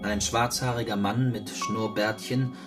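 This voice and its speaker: A man narrating a literary radio drama in a sober, measured manner. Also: on the low side, fairly even intonation. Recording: voice over clear guitar music.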